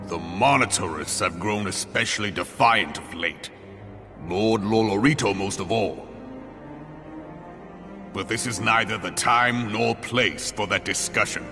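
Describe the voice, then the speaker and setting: Stern formal fantasy game statesman, lowish gravelly voice, big hall reverb, music pretty present. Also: unhurried. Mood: grave, confiding. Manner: formal, solemn, authoritative. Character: stern, dignified male statesman, formal and weighty. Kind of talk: dramatic fantasy game dialogue. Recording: long hall reverb under loud music.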